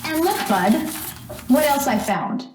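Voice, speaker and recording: A woman's voice: high voice, big pitch swings, unhurried, background noise. A woman playing a doting, trusting, well-off mother in an old-time radio drama, speaking in a fond, fussing, chatty way. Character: doting, trusting, wealthy mother of a teenage son. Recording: roomy, echoing stage-like sound.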